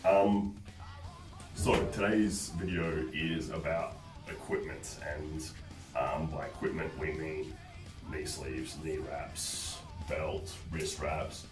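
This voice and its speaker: A man in his thirties with a croaky, sick-sounding voice, chatting bluntly and casually as a coach giving tips, with some swearing. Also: rumbling low, steady tone, takes it slowly. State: sick with a chest infection. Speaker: host, powerlifting coach. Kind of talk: casual instructional chat. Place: small bare-walled room indoors. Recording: roomy echo, distant mic, faint music.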